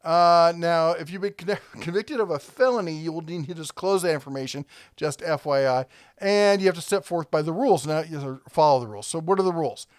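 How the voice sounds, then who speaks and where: A man's voice: fairly high pitch, fairly quick, clean tone, no room sound, studio quality. A man hosting a casual product review, talking conversationally and thinking aloud as he rambles along.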